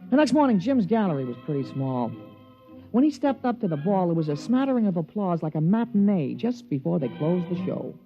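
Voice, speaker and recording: An eager golf caddy with a slightly high voice, spinning his story in folksy slang. It comes through as a dulled fifties radio play with faint music underneath. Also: big pitch swings, a little fast. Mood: wry storytelling. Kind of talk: 1950s radio drama acting, narration and dialogue. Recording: muffled vintage 1950s radio recording, music behind.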